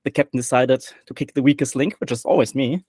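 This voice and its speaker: A young German man with a German accent, talking casually on a podcast. He chats in a jokey way, laughs often and repeats his words now and then. His voice sits at an average pitch and sounds dry and close, with little room sound. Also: fast talker, darkish tone, breathy edge, no echo. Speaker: podcast guest. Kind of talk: casual chat.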